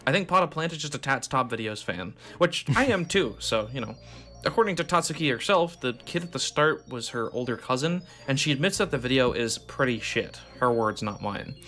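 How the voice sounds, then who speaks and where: A man narrates a video essay with a dry, offhand delivery. His voice is bright and forceful, and faint music runs underneath as it plays back from a video. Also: medium pitch, expressive, fairly quick. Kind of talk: casual scripted narration.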